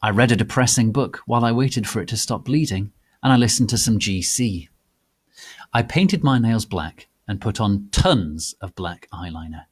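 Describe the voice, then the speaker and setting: A man giving a theatrical, deliberate dramatic reading of a piece of fan fiction. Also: on the low side, lively intonation, husky. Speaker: reader.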